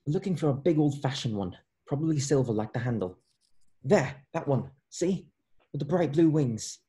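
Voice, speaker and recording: A man's voice: mid-range voice, animated, normal speed, dark tone, lightly acted. A man playing a wry, practical, loyal schoolboy wizard in a screenplay table read.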